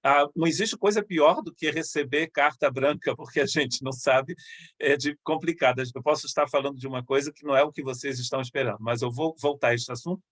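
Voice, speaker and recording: A middle-aged man talks as a missionary pastor, sounding conversational and halting, often restarting his sentences. His voice is mid-pitched and recorded dry and close, with no room sound around it. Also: steady tone, a bit bright, no echo. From Brazil, Rio de Janeiro state.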